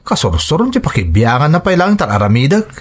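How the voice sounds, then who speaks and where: Man acting grumbling husband, swinging pitch. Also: sing-song, fast talker, slightly rough. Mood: irritated, defensive. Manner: grumbling, defensive. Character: proud, stubborn, grumbling young husband, a labourer. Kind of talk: serial audio drama acting.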